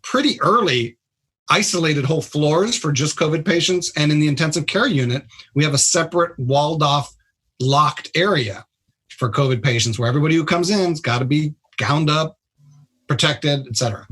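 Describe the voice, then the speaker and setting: A higher-pitched man with a husky edge to his voice talks bluntly as a radio guest. He explains with punchy, emphatic sentences in a casual way. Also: moderate pace, a bit bright. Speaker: trauma surgeon guest.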